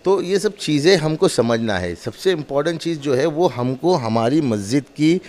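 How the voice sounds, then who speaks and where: A man's voice: fairly high pitch, lively intonation, moderate pace, a little mellow. A man gives a spoken demonstration, explaining in an emphatic, repetitive, instructive way. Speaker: mosque representative demonstrating loudspeaker equipment.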